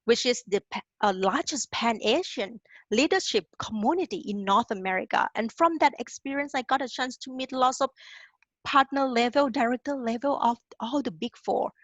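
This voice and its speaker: A woman's voice: a little high, moderate pace, a bit bright, no room sound, dull audio. A woman from Thailand giving a career webinar to international students, coaching them directly and often checking in with "okay?". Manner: encouraging, direct, tags lines with "okay?". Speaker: career advisor presenting a webinar.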